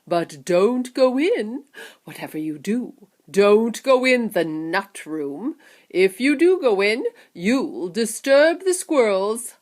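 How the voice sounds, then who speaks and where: A woman reading an audiobook, voicing an eccentric, excitable male chocolate maker who talks eagerly and emphatically. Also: a little high, a little slow, few pauses, pitched above the performer's own voice. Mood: urgent warning.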